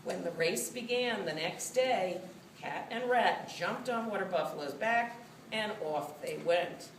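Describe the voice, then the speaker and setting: A woman reading a children's story as scripted narration, with an expressive storytelling delivery. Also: mid-range voice, a little slow. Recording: roomy, distant mic, faint noise.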